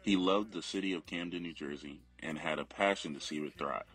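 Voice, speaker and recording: A man with an extremely deep voice reads a memorial biography as a family member. His words come from a prepared script, with faint music playing behind him. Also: fairly quick, fairly bright tone, slightly rough.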